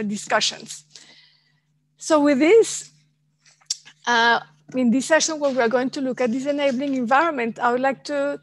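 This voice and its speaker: A woman in her forties moderating an online panel from a home office, speaking in halting phrases with many fillers and repeated words. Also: high voice. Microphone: headset on a video call.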